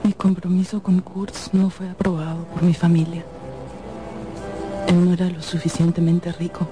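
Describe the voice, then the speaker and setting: An actress playing a proud, devoted fiancée in mourning, in an impassioned, halting radio drama delivery. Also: female voice, fairly low pitch, level tone, music in the background, lightly acted. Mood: solemn sorrow. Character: grieving bereaved fiancée, devoted, proud, desperate. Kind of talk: Spanish-language radio drama acting.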